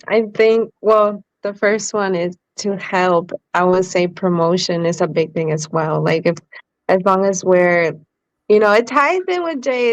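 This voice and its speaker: A woman's voice: lowish voice, no echo, highs cut off. A woman co-hosting a podcast, talking in a chatty way with fillers like "um" and "like". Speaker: podcast co-host. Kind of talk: casual podcast chat.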